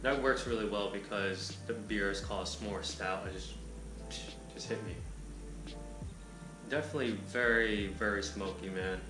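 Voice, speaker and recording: A man reviewing a beer in a relaxed, rambling way with a raspy voice. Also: on the low side, moderate pace, echoey room, music in the background, low-quality recording. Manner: laid-back, rambling, lots of filler words. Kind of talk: casual chat.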